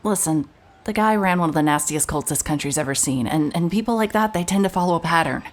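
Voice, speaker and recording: A woman's voice: expressive, fast talker. A woman playing a wry, irritable private investigator in an audio drama, with a dry, blunt, sardonic delivery. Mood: tense, insistent. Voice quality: low-pitched voice. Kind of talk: cinematic audio drama, noir narration and naturalistic dialogue.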